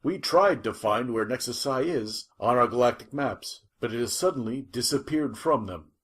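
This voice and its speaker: A man's voice: medium pitch, expressive, normal speed, slightly rough. A man playing an earnest futuristic news reporter in a scripted sci-fi audio drama. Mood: upbeat, official.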